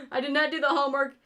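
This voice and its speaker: A woman's voice: sits high, bright, forceful tone. A woman from rural New York with her own regional New York accent, chatting loosely while she draws on a livestream and swearing often. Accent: upstate New York. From rural New York State. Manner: rambling, swears a lot, laughs at own jokes. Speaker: streamer and artist. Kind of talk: casual livestream chat while drawing.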